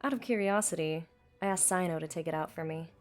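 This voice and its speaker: A woman's voice: lowish voice, quick pace, faint music behind, lightly acted. A woman voicing a frank, casual desert mercenary in an amateur game dub, explaining things in a conversational, reflective way. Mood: reflective, candid.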